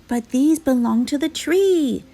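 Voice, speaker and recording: A woman's voice: sits high, animated, measured pace, mellow, pitched above the performer's own voice. A woman voicing an earnest, worried young male fox cub who pleads and protects in a storybook reading. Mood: alarmed, pleading.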